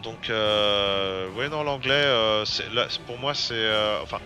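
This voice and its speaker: A man's voice: on the low side, slow pace. A man chatting casually as he streams a game, hesitant and full of fillers and restarts. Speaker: gaming streamer. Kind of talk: casual gameplay commentary. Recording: loud game music behind the voice.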